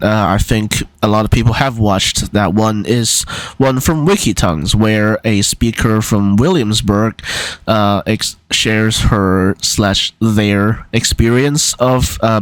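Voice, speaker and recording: A man's voice: on the low side, no echo, rough recording. A man talking as an amateur linguist in a casual explainer vlog, hesitant, with many fillers and restarts.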